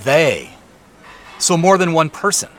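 An indignant, suspicious man in an audio drama. His voice is slightly high for a man and lively in pitch, with a pressing, defensive manner. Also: takes it slowly, soft background music, full character voice. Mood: accusing, pressing. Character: earnest, indignant, suspicious man demanding answers. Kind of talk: naturalistic audio drama dialogue.

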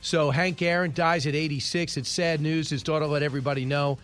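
A man's voice: on the high side, steady tone, fairly bright tone. Wisecracking male radio talk host. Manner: wry asides, quick opinions, occasional stumbles. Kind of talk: casual news-commentary monologue. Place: radio studio.

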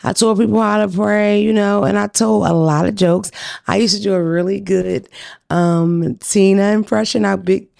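Young woman comic, gravelly, dry close sound, loud and profane. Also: mid-range voice, fairly even intonation, normal speed, dry recording. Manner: brash, profane storytelling. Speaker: comedian guest. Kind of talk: casual podcast chat.

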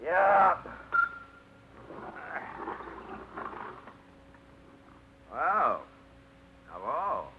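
A blunt, terse mechanic. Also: male voice, a little high, sing-song, very slow, gravelly, slight background noise, full character voice. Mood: curt. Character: blunt, laconic man named Hawkins, a truck mechanic. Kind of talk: 1950s radio drama acting. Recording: muffled 1950s radio broadcast.

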